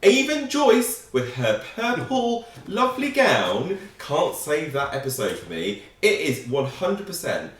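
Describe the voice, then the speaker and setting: A man's voice: a little high, a little slow, few pauses. A man co-hosting a reaction show, talking casually and with frustration about an episode. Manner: animated, frustrated critic. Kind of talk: casual chat reviewing an episode. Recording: echoey room, distant mic.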